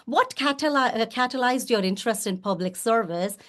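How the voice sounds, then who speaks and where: A woman's voice: fairly high pitch, brisk, a bit bright. A woman moderating a panel discussion, introducing speakers and putting questions to them.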